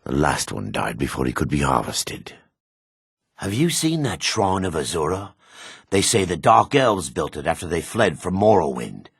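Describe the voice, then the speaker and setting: A weathered dark elf fighter, with an extremely deep voice full of gravel. He is grim and measured, with menace in a lively, moving intonation. It is fantasy game dialogue, recorded dry and close. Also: no echo, full character voice. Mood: sinister. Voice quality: gravelly, husky. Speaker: video game voice actor. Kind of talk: fantasy game character lines.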